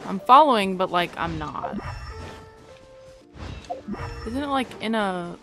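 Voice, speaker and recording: Young woman, casual gaming commentary, medium pitch, chatty thinking aloud, game music in the background. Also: lively intonation, normal speed, sharp tone. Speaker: gaming video creator.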